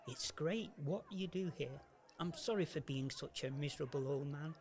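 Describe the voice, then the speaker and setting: A man playing a gruff older uncle in an amateur radio play. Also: on the high side, moderate pace, natural voice. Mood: earnest, apologetic. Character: gruff, miserable older uncle, a reluctant investor softening.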